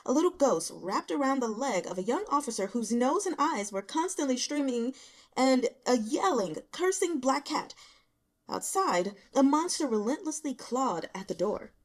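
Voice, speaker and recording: Woman, audiobook reader, higher voice, very lively pitch, expressive fiction narration. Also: normal speed.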